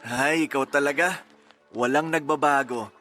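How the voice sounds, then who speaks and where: A man playing a modest, sincere young man in a Filipino audio drama, earnest and gently bantering. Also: medium pitch, moderate pace, soft background music, natural voice.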